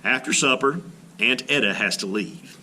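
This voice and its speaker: A man reading a children's story aloud as a teacher, in an expressive narrating style. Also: mid-range voice, moderate pace, slight background noise.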